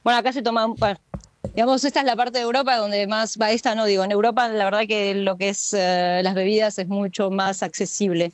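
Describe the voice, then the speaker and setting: A woman's voice: medium pitch, steady tone, a little fast, no echo. Argentine woman, hesitant, many fillers. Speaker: guest. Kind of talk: phone-in interview chat.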